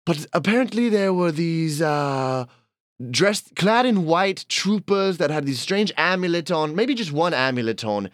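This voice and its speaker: A grandiose llama aristocrat, voiced by a man holds forth in an affected posh accent, pompous and theatrical. His voice sits fairly high and swoops widely. The sound is dry and close. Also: a bit bright, no room sound. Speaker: guest host. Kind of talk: improvised comedy podcast character.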